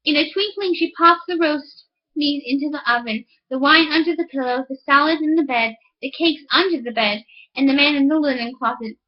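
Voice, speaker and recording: A woman's voice: high-pitched, fairly quick, lo-fi sound. A woman reading a fairy tale aloud as scripted audiobook narration. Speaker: audiobook reader.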